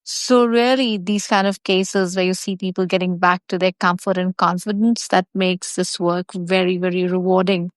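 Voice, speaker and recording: A woman homeopath calmly describing cases from her practice in a measured, professional way. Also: fairly low pitch, dry recording.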